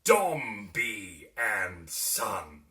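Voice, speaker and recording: A man voicing a stern, pompous middle-aged businessman and proud father in a dramatic reading of a Victorian novel, speaking slowly and grandly. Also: on the low side, big pitch swings, sharp tone, echoey room, far from the mic, exaggerated voice. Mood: proud, emphatic. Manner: pompous, grandiose, slow and declamatory.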